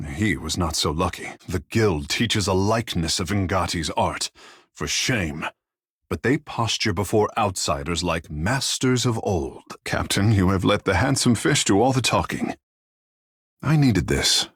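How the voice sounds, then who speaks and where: Husky, theatrical young shark-folk charmer. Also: male voice, low voice, a bit bright, no room sound, studio quality, heavily acted. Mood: playful, flamboyant. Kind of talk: video game voice acting.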